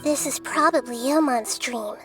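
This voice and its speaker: A woman voicing a calm, wise little girl deity in a video game, speaking gently and thoughtfully. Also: high voice, moderate pace, close to an everyday voice. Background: game music.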